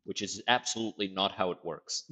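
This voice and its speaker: A man's voice: deep voice, normal speed, slightly rough, poor audio. A man from Portugal with a South African-tinged accent, streaming a game and chatting casually, with profane outbursts. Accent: South African-tinged English. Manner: casual and profane, sudden loud yelps of surprise. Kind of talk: live gameplay commentary, reading chat.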